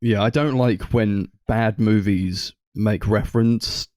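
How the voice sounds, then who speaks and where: A man's voice: on the low side, steady tone, slow pace, a little mellow, dry recording, studio quality. A man chatting casually about films as a podcast co-host. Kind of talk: casual film discussion.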